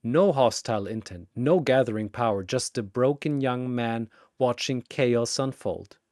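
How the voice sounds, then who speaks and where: A man's voice: mid-range voice, a little slow, a little mellow, no room sound, studio quality. A man narrating a scripted action story in a theatrical way, with short suspenseful phrases.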